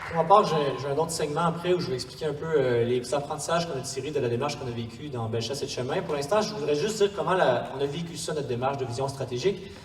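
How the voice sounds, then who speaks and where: A Quebec-accented man speaks quickly in a slightly high voice, recorded from a distance in a reverberant hall with faint noise. He presents informally to a workshop, explaining things in a conversational way. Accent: Quebec French. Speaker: parish pastoral coordinator, workshop speaker. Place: large echoey room before an audience.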